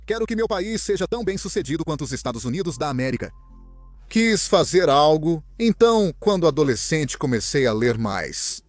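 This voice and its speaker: A young man from Venezuela, a guest in an interview, telling his story in an earnest, animated way. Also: on the high side, normal speed, no room sound, faint music behind. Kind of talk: interview answers, personal story.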